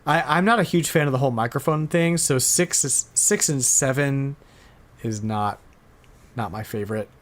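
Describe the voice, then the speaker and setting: Casual, halting male podcast host. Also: medium pitch. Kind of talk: casual chat about retro game news.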